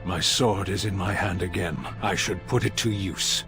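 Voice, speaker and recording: An actor playing a proud, stoic giant warrior in a fantasy game, terse, deliberate and grave. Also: male voice, sits low, steady tone, over music. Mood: grave, resolved.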